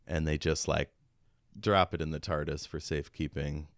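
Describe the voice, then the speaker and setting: A man's voice: extremely low pitch, steady tone, normal speed, no echo, clean studio sound. A man co-hosting a podcast in casual, informal chat, with a jokey, self-deprecating manner. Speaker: podcast co-host.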